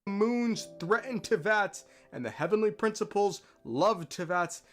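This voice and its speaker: A man's voice: sits high, expressive, a little slow, fairly bright tone, soft background music. A man narrating a game lore recap in an emphatic, dramatic way, drawing out key words.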